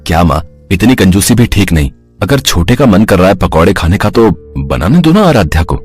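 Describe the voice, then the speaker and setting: A man's voice: low voice, very animated, a little fast, darkish tone, slightly rough, faint music behind, exaggerated voice. A man acting an easygoing young man of the household in scripted story dialogue, coaxing and familiar. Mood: persuading. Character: easygoing young men of a household, husband and brother.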